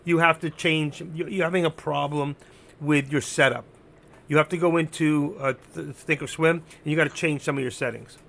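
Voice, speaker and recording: A man with a gravelly, hoarse voice that is giving out, doing unscripted live trading commentary, thinking aloud, repeating himself and instructing his viewers. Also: a little high, fairly even intonation, moderate pace, a bit bright. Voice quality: gravelly, creaky. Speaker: live stock-trading streamer.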